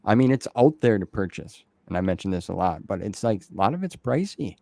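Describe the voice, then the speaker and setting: A man with a fairly low voice hosts a casual interview. His speech is informal and full of easygoing slang. The sound is dry, with very little room in it. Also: lively intonation, brisk, gravelly, mellow, no echo. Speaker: interview host.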